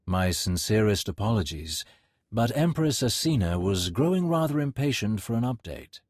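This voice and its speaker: An actor playing a courteous Sith lord in a video game, formal and measured, with polished deference. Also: male voice, on the low side, very animated, darkish tone, dry recording, studio quality. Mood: composed, courteous. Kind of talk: dramatic video game voice acting.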